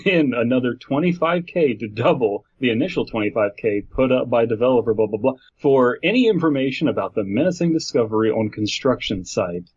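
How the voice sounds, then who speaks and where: A man's voice: mid-range voice, animated, a little fast, hardly any pauses, darkish tone, husky, no room sound. A man co-hosting a podcast, chatting casually and reading out headlines. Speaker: podcast co-host. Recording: dull lo-fi, dry.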